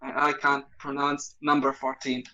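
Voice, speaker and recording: A man co-hosting a casual podcast chat, hesitant and halting, chuckling between thoughts. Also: medium pitch, monotone, pushed and bright, dull audio. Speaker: podcast co-host.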